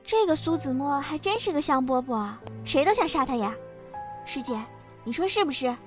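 A very high, girlish voice with light music behind. a young junior assassin in a costume audio drama, sweet and pouting, protective of her elder sister. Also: lively intonation, normal speed. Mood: coquettish.

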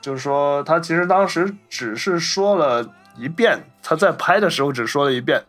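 A man's voice: fairly high pitch, animated, moderate pace, fairly bright tone, faint music behind. A man co-hosting a podcast, explaining points about film in a casual, conversational discussion style. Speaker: podcast co-host.

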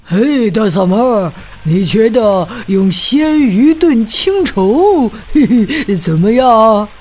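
Chubby food-loving chick, slow drawl, high and sing-song, as a woman puts it on. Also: very animated, darkish tone, soft background music, exaggerated voice. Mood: sly. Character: chubby greedy young chick, fond of food.

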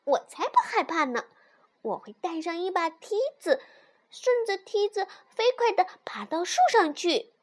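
Woman putting on a high, eager little fox child. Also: animated, unhurried, a bit bright, full character voice. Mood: boastful, animated. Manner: eager, emphatic. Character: bold, boastful, excitable little fox child.

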